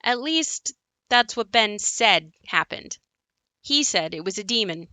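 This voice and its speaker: An actress as a wry young woman narrating a stage-style audio drama about her haunted house. Her voice is bright and forward, set at a middling pitch. The recording is close and dry, and she tells it conversationally. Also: lively intonation, unhurried, dry recording. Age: young adult. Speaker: narrator character in an audio play. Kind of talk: scripted dramatic monologue.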